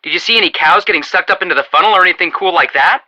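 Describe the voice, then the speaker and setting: Very bright, excitable young male sleuth. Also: high-pitched, racing, heavily acted. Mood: excited. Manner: chatty, joking, emphatic. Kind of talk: animated video game dialogue.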